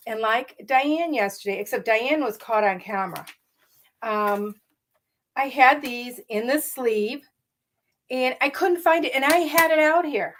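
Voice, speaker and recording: Middle-aged woman, slightly raspy morning voice, higher pitch, chatty craft demonstrator, enthusiastic, lots of ums. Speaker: card-making demonstrator. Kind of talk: casual live craft tutorial.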